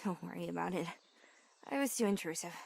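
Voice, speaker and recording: An actress playing a timid, modest young maid in a video game, hesitant and polite. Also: female voice, fairly low pitch, animated, fairly quick, creaky, darkish tone, slight background noise. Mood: shy, embarrassed. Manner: hesitant, self-doubting, polite. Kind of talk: naturalistic video game acting.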